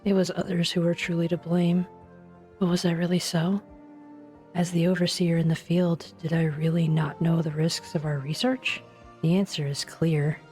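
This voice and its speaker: A weary researcher played by a woman speaks gravely and deliberately in a low voice. She sounds sombre yet resolute, as in a game drama, with faint music underneath. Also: flat delivery, darkish tone, close to an everyday voice. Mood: self-reproach. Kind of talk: English fan dub of an anime game.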